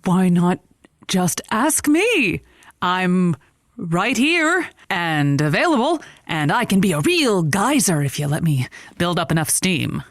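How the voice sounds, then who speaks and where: Flirty, animated radio love-show host. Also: female voice, fairly low pitch, takes it slowly, no echo, studio quality. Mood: teasing. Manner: innuendo-laden, playful, punning. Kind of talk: audio comedy drama acting.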